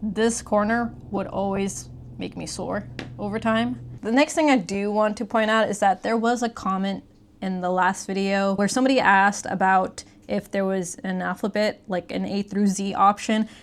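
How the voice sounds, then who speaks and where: A woman's voice: mid-range voice, steady tone, normal speed. A woman giving a casual, conversational hands-on gadget review, explaining things step by step. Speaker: product reviewer.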